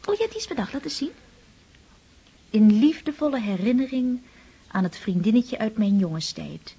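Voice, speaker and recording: Breathy, high, lovestruck girl. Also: expressive, moderate pace, mellow. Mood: playful. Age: young adult. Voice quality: breathy, husky. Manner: girlish, effusive, playful. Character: impulsive, affectionate, lovestruck young countess. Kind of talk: radio drama acting.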